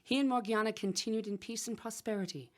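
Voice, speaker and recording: A woman's voice: a little high, quick pace, close to an everyday voice. A woman playing a soothing storyteller queen, narrating storybook tales in a radio play over music. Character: soothing storyteller queen addressing her Sultan.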